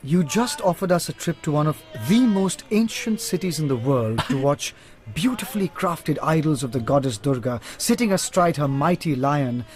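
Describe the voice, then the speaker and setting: An actor playing a brilliant, dry-witted male private investigator, measured and articulate, in a radio drama. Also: a little high, lively intonation, darkish tone, soft background music, close to an everyday voice. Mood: teasing.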